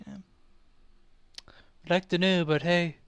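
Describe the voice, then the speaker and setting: A man's voice: high voice, fairly even intonation, slow pace, clear, resonant tone, dry recording. A man casually commenting over his video game playthrough, goofy and playful, humming and making silly noises. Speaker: gameplay commentator. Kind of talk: casual let's-play commentary.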